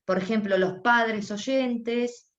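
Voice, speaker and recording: A woman with an Argentine accent voicing a deaf panelist's signing into speech at a panel discussion. She talks haltingly, with many restarts and pauses. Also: on the high side, reverberant, muffled recording. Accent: Argentine Spanish. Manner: halting, with frequent restarts and pauses while interpreting. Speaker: sign language interpreter voicing a deaf panelist. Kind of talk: live voice interpretation at a panel discussion.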